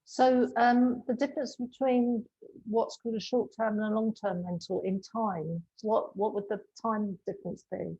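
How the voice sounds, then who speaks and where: A woman's voice: medium pitch, fairly even intonation, moderate pace, dark tone, husky. A woman hosting an informal interview, putting questions to her guest. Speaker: interviewer, host. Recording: dull, muffled lo-fi sound.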